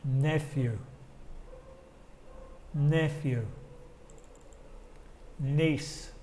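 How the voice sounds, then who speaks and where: A British man teaches English in Spanish, his second language. He speaks very slowly and patiently and says each vocabulary word twice. His voice sits a little high for a man, with a faint hiss behind it. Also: steady tone, dark tone. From Britain. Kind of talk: online language lesson.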